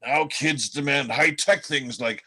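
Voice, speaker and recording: A man playing a chatty, world-weary reindeer in an amateur radio play, telling gossip in a folksy, halting way. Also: mid-range voice, bright, forceful tone, breathy edge, heavily acted. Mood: wry, explaining.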